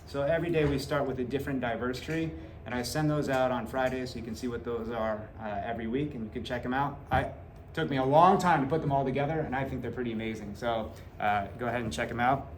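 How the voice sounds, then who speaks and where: A man's voice: medium pitch, steady tone, fast talker, reverberant, a little hiss or hum. A man in his forties, a seventh grade teacher, talking earnestly to parents in a classroom with many fillers, picked up by a camera a few meters off. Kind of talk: back-to-school talk to parents. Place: classroom in front of a whiteboard. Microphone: fixed camera at a few meters.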